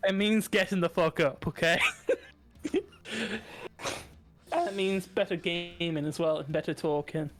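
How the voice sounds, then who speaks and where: High-voiced young man, laughing banter. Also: measured pace, pauses often, soft background music. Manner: laughing, crude and playful. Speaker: podcast co-host. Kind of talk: casual banter. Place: home desk.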